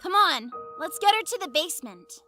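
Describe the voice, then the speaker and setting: A woman's voice: extremely high pitch, expressive, moderate pace, over music. A woman voicing a wicked young cartoon pegasus filly, smug and impatient, with a taunting, snappy manner. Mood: cold.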